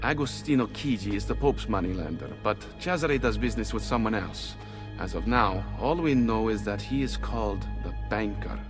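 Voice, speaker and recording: Actor as a shrewd conspirator over music. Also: male voice, on the low side, lively intonation, moderate pace, few pauses, close to an everyday voice. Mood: measured, informative. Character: shrewd male Italian conspirator, informant to assassins. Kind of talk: dramatic video game acting. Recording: clear music bed behind.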